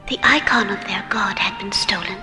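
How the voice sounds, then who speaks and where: An actress playing a serene, mystical alien woman in a science fiction radio drama, speaking solemnly with a breathy, hushed voice. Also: mid-range voice, lightly acted. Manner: solemn, measured. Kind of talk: old-time radio science fiction acting. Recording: long hall reverb, music behind.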